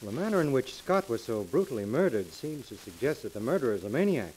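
A man's voice: medium pitch, very animated, quick pace, darkish tone, close to an everyday voice. Businesslike male investigator actor, formal and earnest, mid-range voice, vintage radio drama with light crackle. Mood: earnest, respectful. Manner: formal, respectful. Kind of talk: 1940s radio drama acting. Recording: hissy crackling 1940s radio recording.

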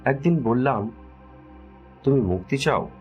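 A man telling a scripted horror story as a dramatic narrator, with measured, suspenseful delivery. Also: mid-range voice, expressive, highs cut off. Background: music.

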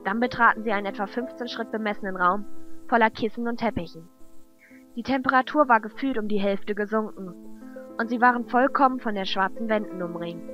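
A woman's voice: medium pitch, dull audio. Female fantasy narrator over music. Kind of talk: dramatic scripted fantasy narration.

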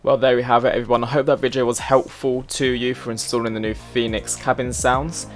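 Casting: male tutorial host, medium pitch, casual and chatty, explains steps directly to viewers. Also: level tone, fairly quick, faint music behind. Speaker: host of a flight simulator tutorial channel.

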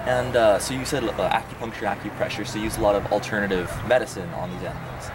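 A man's voice: fairly low pitch, steady tone, fast, background noise. A young man, casually interviewing people on location at a farm. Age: young adult. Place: outdoors at a farm sanctuary.

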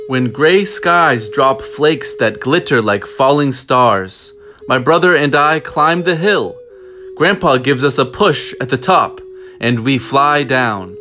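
A man reading a book aloud in a measured, deliberate way, pausing often between phrases. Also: mid-range voice, pushed and bright. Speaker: storybook reader. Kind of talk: children's book read-aloud, scripted narration.

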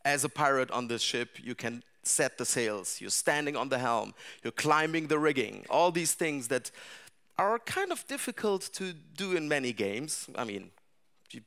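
A middle-aged man speaks to a seated audience in a dark seminar room, his voice at an ordinary middle pitch. He picks his way through each thought, restarting phrases and filling pauses with "uh" and "um" as he goes. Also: big pitch swings, gravelly. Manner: thoughtful, frequent restarts and fillers. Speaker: larp designer giving a talk. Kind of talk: conference talk to a seated audience.

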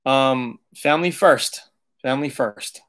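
A man's voice: medium pitch, unhurried, fairly bright tone. A man in his late thirties hosting a casual livestream Q&A from his living room, talking loosely, laughing often and swearing now and then. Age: 30s. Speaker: auto body channel host. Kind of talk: casual livestream Q&A reading chat. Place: living room, seated on leather couch.

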